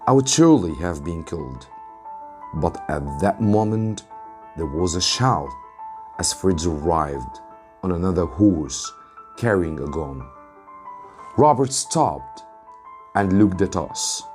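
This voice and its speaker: A man narrates a story for English learners. His voice sits deep and he takes his time, pausing between short phrases. Faint music plays behind him. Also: lively intonation, a little mellow. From Egypt. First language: Arabic. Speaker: English teacher reading aloud. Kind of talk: narrated storybook reading for students.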